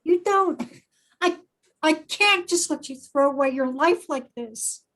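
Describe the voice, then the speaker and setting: Frightened, devoted hostage played by a woman, high register, unhurried, earnest pleading. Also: a bit bright. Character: terrified but loyal friend held hostage. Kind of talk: dramatic table read.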